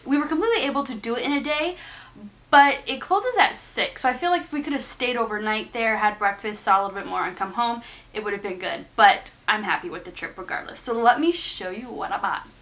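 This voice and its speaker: Chatty high-voiced woman. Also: brisk, a bit bright. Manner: chatty, upbeat, talks to the camera. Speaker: vlogger. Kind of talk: casual shopping haul vlog. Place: bedroom at home, indoors. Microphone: camera in front of her face.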